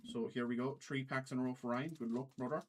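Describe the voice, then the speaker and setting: Halting man streamer with put-on Irish accent. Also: mid-range voice, steady tone, a little hiss or hum. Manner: halting, repeats phrases. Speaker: trading card break livestream host. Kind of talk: casual livestream commentary, calling out cards.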